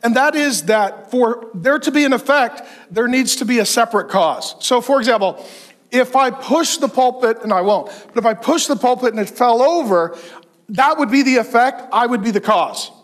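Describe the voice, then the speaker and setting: A man of fifty-one preaching a sermon on a large church stage, animated and joking as he gestures. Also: very high-pitched, normal speed. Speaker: pastor.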